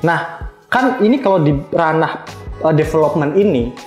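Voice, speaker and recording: Higher-voiced male podcast host, roomy sound. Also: expressive, moderate pace, a little mellow. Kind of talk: casual interview, chatty with English loanwords. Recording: roomy echo, faint music behind.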